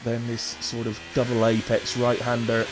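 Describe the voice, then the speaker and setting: A man's voice: mid-range voice, monotone, normal speed, music in the background, low-quality recording. A man with a chest infection giving informal live sim racing commentary and casual chat as the host, in short running phrases.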